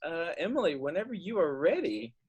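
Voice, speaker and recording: A man's voice: high voice, sing-song, fairly quick, vocal fry, heavy background noise. A man hosting on a video call, reading playful scripted introductions with theatrical emphasis. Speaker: host introducing the cast.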